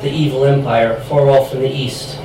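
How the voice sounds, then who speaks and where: A man's voice: mid-range voice, steady tone, normal speed. A young man from the United States reading his poetry at a live event, his voice going from a chest infection. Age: young adult. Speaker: poet. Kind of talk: reading own poem aloud at a live event. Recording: very echoey room, distant mic, clear noise.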